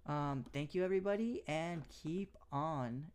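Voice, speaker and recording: A man's voice: a little high, unhurried, darkish tone, smooth. A man hosting his own podcast, talking casually with lots of ums and restarts as he recaps and gives his views. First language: English. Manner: casual, many fillers and restarts. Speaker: podcast host. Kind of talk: solo podcast recap and analysis.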